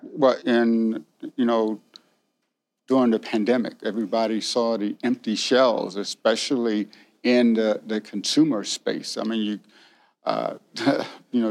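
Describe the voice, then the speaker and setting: A man's voice: mid-range voice, a little mellow. Guy hosting an interview, curious, keeps asking follow-ups. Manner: curious, asks follow-up questions. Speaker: interview host. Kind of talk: conversational interview.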